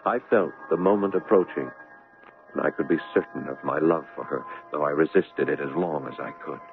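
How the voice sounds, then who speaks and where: An actor playing an earnest, troubled young doctor and devoted son in a 1970s radio drama. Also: male voice, rumbling low, gravelly, music in the background. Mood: wistful narration. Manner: earnest, agitated, halting in dialogue. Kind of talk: 1970s radio drama acting with first-person narration. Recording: muffled vintage radio broadcast, narrow band.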